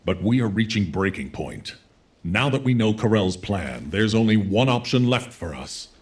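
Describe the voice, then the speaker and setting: Military commander, deep voice, firm, authoritative, resolute, caring, game cutscene dialogue. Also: male voice, sing-song, normal speed.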